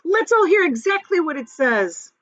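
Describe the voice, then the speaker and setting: A woman voicing an excited old grandfather in a storybook reading, shouting rapid orders. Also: very high-pitched, dry recording, lo-fi sound, voice pushed up, full character voice. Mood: eager.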